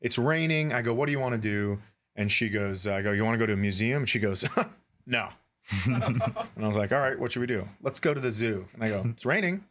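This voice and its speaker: A man's voice: mid-range voice, fairly quick, slight background noise. A middle-aged man co-hosting a comedy podcast, telling stories casually and acting out the dialogue as he goes. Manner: animated, acts out dialogue in his stories. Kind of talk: comic storytelling, casual banter.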